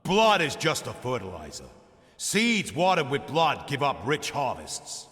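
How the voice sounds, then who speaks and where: A man's voice: mid-range voice, wildly swooping pitch, unhurried, fairly bright tone. An actor playing an anguished, defiant man trapped by fate in a video game, declaiming dramatically. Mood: fervent. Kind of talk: theatrical scripted game dialogue. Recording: long hall reverb.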